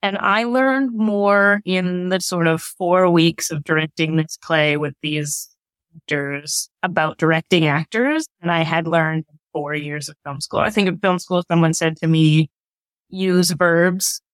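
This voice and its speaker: A woman's voice: on the low side, unhurried, no room sound. A woman speaking as an interview guest, a theater director talking conversationally.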